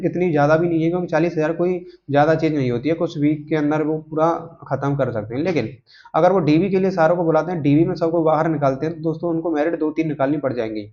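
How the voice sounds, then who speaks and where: A man's voice: on the high side, a little mellow. A man informally explaining updates to viewers off the cuff, rambling a little and often checking in with short tags. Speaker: YouTube host giving job exam updates. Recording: dull lo-fi microphone sound.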